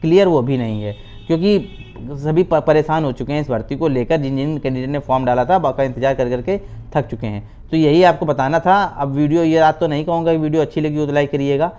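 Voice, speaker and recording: A man's voice: fairly high pitch, brisk, mellow, clean tone. A man giving an informal explainer as a video host, repeating himself and often using filler phrases and check-in tags. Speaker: YouTube host giving exam updates. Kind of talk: informal explainer addressed to exam candidates.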